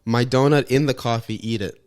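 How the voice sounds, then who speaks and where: A young man co-hosting a podcast, a little sniffly from allergies, telling a story in animated casual chat with some cursing. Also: mid-range voice, brisk, clear, resonant tone, no echo, studio quality. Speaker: podcast co-host.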